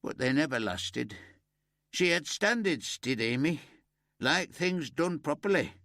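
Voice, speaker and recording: A man's voice: lively intonation, a little slow, dry recording, studio quality. A man doing a grieving old Yorkshire ex-miner. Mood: wistful. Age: older. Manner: broad and homely, higher and warmer than the narrator.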